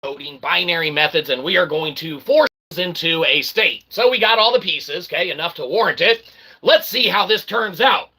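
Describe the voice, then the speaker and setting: A man with a gravelly, fairly high-pitched and very sharp voice delivers a loose, rambling stream lecture. He is brash and irreverent, pokes fun at himself, and keeps punctuating his points with little check-in words. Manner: brash, irreverent, sweary, self-deprecating; repeatedly tags phrases with "okay" and "all right". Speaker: independent streamer explaining ideas. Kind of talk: informal rambling explainer livestream.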